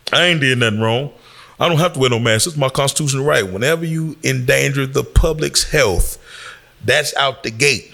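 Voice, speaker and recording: A man's voice: medium pitch, vocal fry, sharp tone. An American man giving an informal, admonishing exhortation as a community speaker, emphatic and fond of rhetorical questions.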